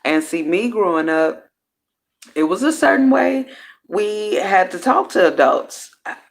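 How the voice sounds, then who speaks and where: Woman, vlog host, slow unhurried delivery, mid-pitched voice, candid, slangy, swears casually, restarts her sentences. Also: expressive. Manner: candid, loose, swears freely; slang-heavy, trails off and restarts. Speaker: host of a personal growth series. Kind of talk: casual reflective monologue.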